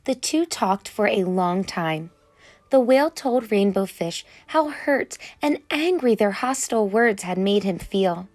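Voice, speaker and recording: A woman reading a children's picture book aloud as an expressive storyteller. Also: medium pitch, measured pace. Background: music.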